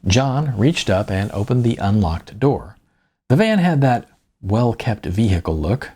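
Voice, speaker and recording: A man's voice: on the low side, very animated, a little slow, dark tone, slightly rough. A middle-aged man narrating an audiobook in the first person, with a wry storytelling manner. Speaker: author reading own audiobook.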